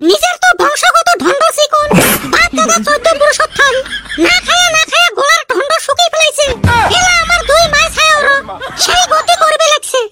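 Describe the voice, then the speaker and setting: A woman with a Rajbongshi accent voicing a sharp-tongued, nagging village wife and mother in a comic cartoon. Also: very high-pitched, brisk, over music. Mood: scornful. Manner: scolding, sarcastic.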